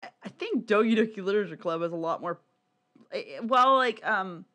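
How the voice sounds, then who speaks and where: Podcast cohost just chatting, giggly and sweary, mid pitched voice. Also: expressive, brisk, bright, forceful tone. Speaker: podcast co-host. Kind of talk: casual podcast chat about visual novels.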